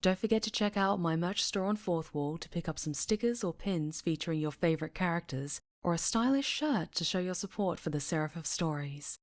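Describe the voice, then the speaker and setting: Lower-voiced woman reading a channel outro. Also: lively intonation, a little fast, hardly any pauses. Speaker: ASMR voice performer and channel creator.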